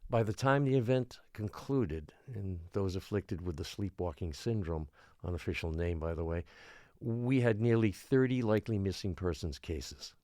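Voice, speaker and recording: An actor playing a beleaguered small-island mayor in an audio drama, speaking in a measured, formal, placating way. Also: male voice, deep voice, dark tone, no room sound, clean studio sound, natural voice. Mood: grave, measured. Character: beleaguered small-island mayor trying to calm frightened residents. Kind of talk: audio drama acting, public address.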